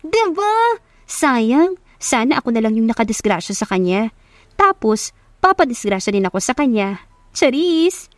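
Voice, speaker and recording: A woman with a Filipino accent voicing an excitable, teasing young female best friend in an audio romance, exclamatory and slangy. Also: fairly high pitch, moderate pace, long pauses, no room sound, studio quality. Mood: teasing, giddy.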